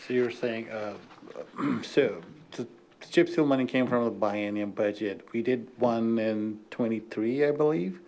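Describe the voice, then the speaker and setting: A man's voice: mid-range voice, a little slow, a little mellow. A man on city staff giving a hesitant answer in a meeting. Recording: noisy meeting room microphone with clicks.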